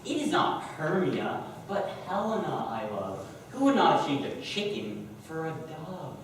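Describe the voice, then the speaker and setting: A man's voice: fairly high pitch, sing-song, measured pace. A man playing an ardent young Athenian lover in a stage play, declaiming passionately, recorded from far off in a noisy echoey hall. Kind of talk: amateur stage play acting.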